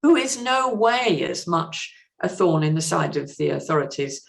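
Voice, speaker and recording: Low-pitched, creaky-voiced woman. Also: sing-song, normal speed. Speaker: podcast guest, literary translator. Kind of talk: conversational interview, reading out a message.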